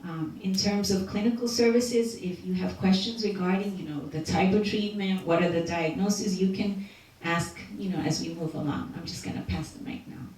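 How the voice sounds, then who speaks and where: Woman speaker, low-ish voice, halting delivery, recorded far off in a very echoey hall. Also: steady tone, a little mellow, slight background noise. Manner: hesitant, many fillers and restarts. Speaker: community health speaker. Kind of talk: informal talk to doctors.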